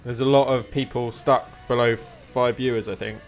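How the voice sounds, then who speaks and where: A man's voice: mid-range voice, steady tone, moderate pace. Man streamer chatting casually over music. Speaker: live streamer. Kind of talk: casual chat with stream viewers.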